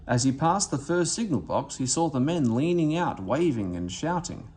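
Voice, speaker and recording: A man reading a children's storybook aloud as an expressive narrator. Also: on the high side, moderate pace, slight background noise. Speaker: story reader.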